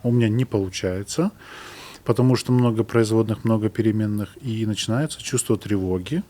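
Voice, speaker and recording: A man's voice: lowish voice, brisk, mellow. Hesitant man in his thirties. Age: 30s. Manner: casual, hesitant, searching for words. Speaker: host. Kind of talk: casual interview chat.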